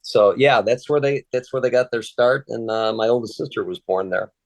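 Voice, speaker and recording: A man in his sixties from Chicago, a native Polish speaker, talking in a relaxed, hesitant way with many fillers and restarts as an interview guest. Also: mid-range voice, normal speed, vocal fry, no room sound. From Chicago, USA. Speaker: interview guest, retired Secret Service agent. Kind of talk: casual conversational interview.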